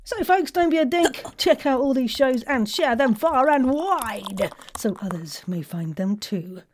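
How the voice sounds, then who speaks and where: A woman's voice: sits high, lively intonation, measured pace, a little hiss or hum. A woman hosting a chatty podcast, speaking in an effusive, meandering way. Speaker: podcast host and audio drama voice actor.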